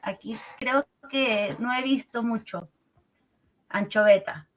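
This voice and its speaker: A woman in her thirties with a Peruvian accent, a marine researcher calmly explaining in an interview from home over a remote call, often ending phrases with a questioning tag. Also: on the high side, takes it slowly, low-quality recording. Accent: Peruvian Spanish. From Peru. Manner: calm, explanatory, many "eh" and "¿no?" tags.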